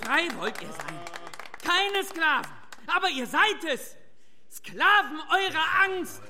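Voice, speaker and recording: Defiant village leader in radio drama, strained high voice, sharp emphatic oratory over faint music. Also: male voice, very animated, slow pace, crowd noise, exaggerated voice. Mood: impassioned. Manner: emphatic, clipped declarations. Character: defiant, driven dike master addressing villagers.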